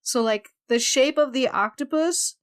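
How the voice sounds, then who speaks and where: A woman's voice: high voice, a little slow. A woman talking casually as one of the panelists on a role-playing podcast. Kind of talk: casual chat.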